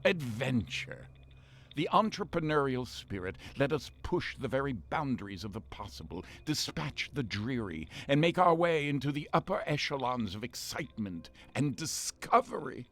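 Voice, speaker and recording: An actor playing a pompous, sarcastic artificial intelligence ship companion for a game voice pack, full of condescending disdain. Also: male voice, medium pitch, big pitch swings, moderate pace, fairly bright tone. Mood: grandiose. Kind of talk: scripted game voice-pack acting.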